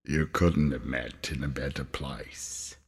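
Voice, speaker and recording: A man's voice: very deep voice, steady tone, slow, a little mellow, husky, voice pushed down, full character voice. A man voicing a sly, grey-haired old junk dealer in a storybook reading, with long reverb. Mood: sly, probing.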